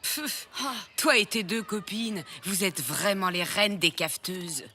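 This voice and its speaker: A woman's voice: medium pitch, measured pace, sharp tone, a little hiss or hum. A woman voicing a mocking, clownish schoolboy in a French-dubbed children's cartoon, jeering and flippant. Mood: scornful. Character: mocking, clownish schoolboy who scoffs at girls.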